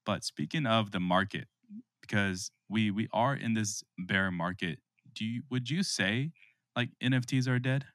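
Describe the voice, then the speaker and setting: A man's voice: on the low side, unhurried, fairly bright tone, no room sound, studio quality. A man hosting a podcast interview in a casual, conversational style. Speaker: podcast host.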